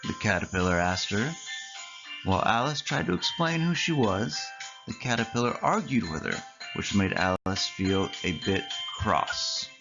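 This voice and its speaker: A storyteller reading a scripted children's story aloud in measured phrases with deliberate pauses. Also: low-pitched, very animated, lo-fi sound. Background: clear background music.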